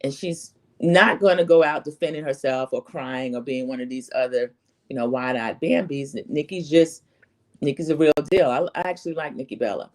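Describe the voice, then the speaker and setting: Low-pitched, slightly scratchy woman recapping TV. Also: normal speed, a little mellow. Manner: opinionated, rambling storytelling with acted-out quotes. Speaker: host of a reality TV recap channel. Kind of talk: casual chatty recap commentary.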